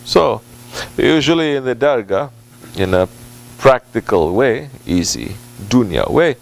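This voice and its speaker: Male Sufi teacher, mid-pitched, informal sermon delivery, halting and deliberate, repeats key words for weight. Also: sing-song, vocal fry, rough recording. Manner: deliberate, halting phrasing with repetition for emphasis; Arabic and Turkish religious phrases mixed into English. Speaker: Sufi religious teacher at a dargah. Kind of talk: informal sermon answering a question.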